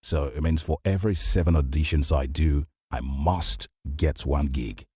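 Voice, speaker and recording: A man with a Nigerian accent and a husky voice, giving a conversational, motivational advice monologue to listeners as a show host. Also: rumbling low, normal speed, darkish tone, no echo, studio quality. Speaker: voice-over artist hosting a show.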